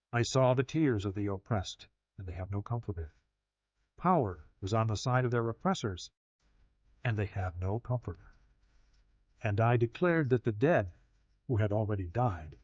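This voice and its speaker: A man narrating a dramatized scripture audiobook in a solemn, measured manner, with deliberate pauses. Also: mid-range voice, a little mellow, no echo. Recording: slightly dull audio with music behind. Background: music.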